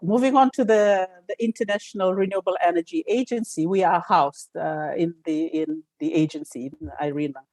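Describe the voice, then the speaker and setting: A woman from Fiji, an energy analyst answering interview questions with many fillers and pauses, speaking over a remote call. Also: on the low side, darkish tone, dry recording.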